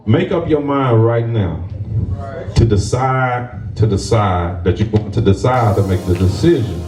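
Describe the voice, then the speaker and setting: Man telling a story to a crowd, low voice, roomy sound, heard from a distance. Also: measured pace, background noise. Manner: lively storytelling, sprinkled with laughs; ends with motivational encouragement. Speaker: stage actor. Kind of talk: storytelling to a live audience.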